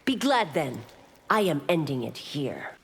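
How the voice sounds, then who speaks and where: An actress playing a stern, resolute law officer and warrior in a video game, with a firm, formal manner. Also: female voice, low-pitched, big pitch swings, a little slow, full character voice. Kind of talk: video game heroine acting. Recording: reverberant arena with clear background noise.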